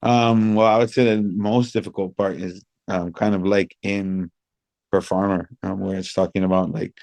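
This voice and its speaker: A man in his thirties from Hawaii, answering interview questions in a thoughtful, measured way with many pauses. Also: low-pitched, level tone, creaky, a little mellow, no room sound. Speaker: guest, reggae singer. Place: outdoors by a pool among high-rise buildings.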